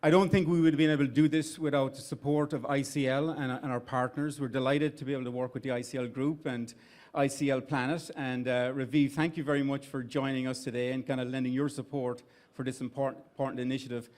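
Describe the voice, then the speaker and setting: A man's voice: medium pitch, level tone, fast, darkish tone. A middle-aged man with an Irish accent, giving conversational opening remarks at a live event, with restarts and fillers. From Ireland. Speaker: CEO of an investment firm giving opening remarks. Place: event venue with an audience.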